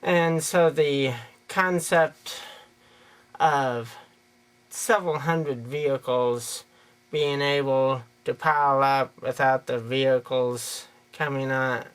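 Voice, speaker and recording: A man's voice: medium pitch, fairly even intonation, takes it slowly, a bit bright, smooth. An American man giving an unscripted opinion monologue in a rambling, folksy, plain-spoken way. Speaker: video creator giving an opinion.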